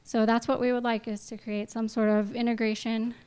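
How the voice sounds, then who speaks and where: A woman's voice: on the high side, level tone, brisk, a little mellow, smooth. A woman giving an informal spoken presentation as a representative of a non-profit.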